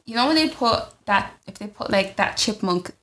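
A woman's voice: medium pitch, lively intonation, normal speed, fairly bright tone. A woman co-hosting a podcast in casual chat, rambling and restarting her questions often. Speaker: podcast co-host.

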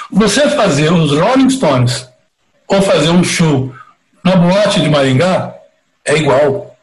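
A man's voice: on the high side, expressive, takes it slowly, pauses often. A middle-aged or older Brazilian man with a Brazilian Portuguese accent, telling anecdotes casually and giving emphatic advice as an interview guest. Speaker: interview guest, veteran concert producer. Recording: roomy, echoey sound.

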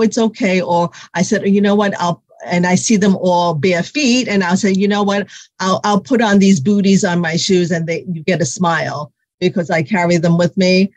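A woman physical therapist answering interview questions in a halting way, with many fillers and restarts, whose first language is Cantonese. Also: fairly low pitch, a little mellow, no room sound.

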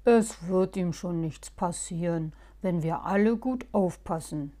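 A woman voicing a dry, unruffled bearded male gnome, a bit lower than her narration. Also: a little slow, dark tone, close to an everyday voice. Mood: reassuring.

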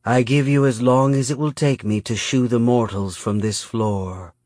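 A man voicing a menacing female predator spirit in an audiobook, cold, mocking and contemptuous, with slow, drawn-out, purring delivery. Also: mid-range voice, steady tone, a little mellow, no room sound, clean studio sound, lightly acted. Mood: cruel, predatory.

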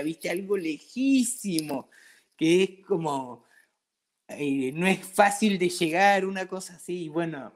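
A man from Patagonia with an Argentine accent, chatting casually and colloquially as he tells stories and acts out bits of dialogue. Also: on the high side. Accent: Argentine Spanish. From Patagonia, southern Argentina. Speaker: interviewee, craft brewery owner. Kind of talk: casual conversational storytelling.

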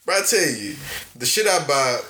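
A man's voice: fairly high pitch, very animated, normal speed, pushed and bright. A man in a casual chat, blunt and joking, swearing freely. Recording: echoey room with background noise.

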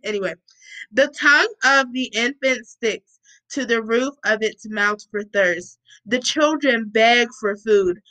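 A woman with a bright, slightly high voice reads scripture aloud at an unhurried pace. She is informal about it, breaking off for casual asides and correcting herself as she goes. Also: low-quality recording. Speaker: host reading scripture aloud with commentary. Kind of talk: Bible reading with casual asides.

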